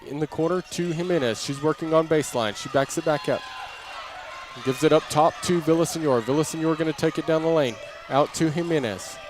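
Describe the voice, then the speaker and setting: A man calling live basketball play-by-play in clipped running phrases, following each move as it happens. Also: on the high side. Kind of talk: live radio-style play-by-play commentary. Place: indoor basketball gym during a game. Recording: crowd and gym noise behind. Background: crowd, bouncing basketball.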